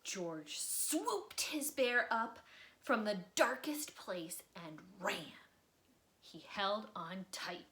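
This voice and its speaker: A woman reading a picture book aloud for children, expressively, with dramatic pauses and drops into breathy near-whispers for suspense. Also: mid-range voice, sing-song, a bit bright. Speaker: volunteer storybook reader. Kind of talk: children's read-aloud storytime narration.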